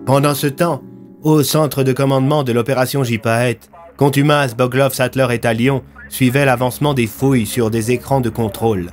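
A young adult man narrates scripted fiction in a dramatic storytelling style. His voice sits at a medium pitch, with soft music running underneath. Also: fairly even intonation, normal speed. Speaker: narrator of an audio fiction series.

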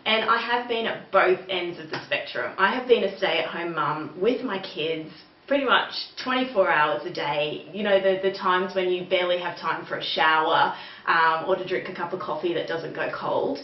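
A woman's voice: lowish voice, normal speed. A middle-aged woman with an Australian accent giving an informal webinar, explaining things in a conversational way. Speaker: webinar presenter. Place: small indoor room with bare wall, seated. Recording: roomy, distant mic, dull sound, faint noise.

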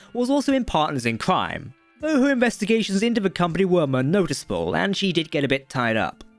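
A man reading a scripted explainer as a video presenter, with sing-song phrasing that drops at the ends of sentences. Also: high-pitched. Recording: dry voice-over with music underneath. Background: background music.

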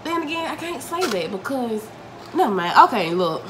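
A woman's voice: fairly high pitch, big pitch swings, moderate pace, crowd noise. A young woman, chatting to viewers while eating, a little congested from a cold, recorded at home. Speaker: mukbang vlogger. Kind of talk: casual chat while eating. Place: home dining room, indoors. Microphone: camera mic at table distance. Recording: home recording with noticeable room noise.

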